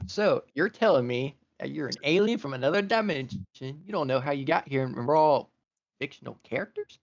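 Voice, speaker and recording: A man's voice: fairly high pitch, expressive, a little fast, creaky. A man voicing a stern but fair female farm pony who speaks with folksy country phrasing, on a dull lo-fi mic.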